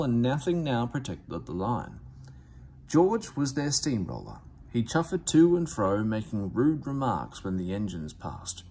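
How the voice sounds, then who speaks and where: A man reading a children's storybook aloud as narrator, in an expressive way. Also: mid-range voice, vocal fry, a little hiss or hum. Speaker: storybook reader. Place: indoors at home, book on camera.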